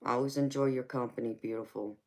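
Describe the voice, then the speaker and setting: Even-toned woman in her forties chatting. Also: rumbling low, fast talker. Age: 40s. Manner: affectionate, calls viewers baby, honey and sweetheart. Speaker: tarot reader and livestream host. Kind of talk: casual live-stream chat with viewers.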